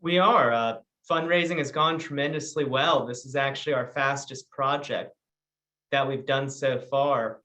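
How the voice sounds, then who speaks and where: A man's voice: mid-range voice, unhurried, sharp tone. A man hosting a business investor webinar, presenting in an organized way with occasional ums. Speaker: webinar host and real estate broker. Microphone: video call.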